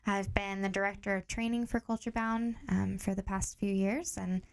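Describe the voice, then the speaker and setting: Calm explaining woman guest. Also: mid-range voice, normal speed. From Tanzania. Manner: calm, steady explaining with clear examples. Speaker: guest, director of training. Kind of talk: explanatory podcast interview.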